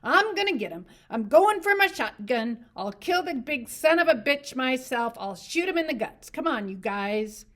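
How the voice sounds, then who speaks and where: A woman voicing a hot-tempered young man who shouts in fury, in a storybook reading. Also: high voice, big pitch swings, normal speed, hardly any pauses, voice pushed up, full character voice. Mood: furious. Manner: shouting, furious.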